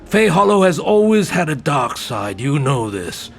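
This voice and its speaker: A man playing a gruff, blunt, foul-mouthed senior police detective with a gravelly voice in an audio drama. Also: fairly high pitch, very animated, a little slow, faint music behind, full character voice. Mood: intense, persuading. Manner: blunt, persuasive, intense.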